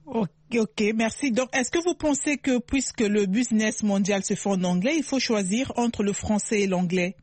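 Polite, brisk radio host. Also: mid-range voice, darkish tone, dry recording. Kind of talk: radio call-in moderation.

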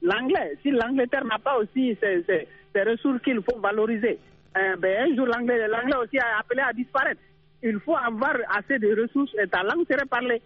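Very high-voiced man on a tinny phone line. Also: a little fast, no room sound. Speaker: caller. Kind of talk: radio call-in opinion.

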